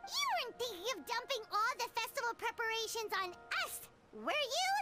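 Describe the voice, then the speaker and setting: An actress playing a tiny, loud and bossy female fairy companion with a shrill childlike voice, scolding and indignant. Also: big pitch swings, normal speed, full character voice. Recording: game audio with music behind. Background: music.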